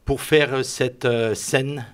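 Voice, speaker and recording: A man's voice: medium pitch, steady tone, drawn-out words, slight background noise. A man from Lorraine, a resident's son acting in the play, speaking hesitantly in a short interview. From Lorraine, France. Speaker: volunteer actor and relative of a resident.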